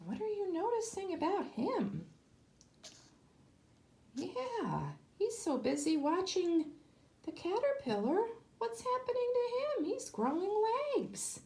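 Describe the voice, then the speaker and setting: A woman reading a children's story aloud as a kindergarten teacher, deliberate and emphatic, speaking to young children. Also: very high-pitched, wildly swooping pitch, gravelly, darkish tone, a little hiss or hum.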